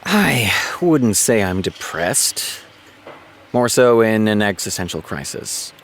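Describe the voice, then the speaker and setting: A hesitant, evasive showrunner in a naturalistic audio drama. His voice sits fairly low and moves up and down a lot, though he talks in an understated, halting way. There is some noise behind him. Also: sing-song, heavily acted. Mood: evasive, subdued. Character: male TV showrunner, hesitant, brooding, in career doubt.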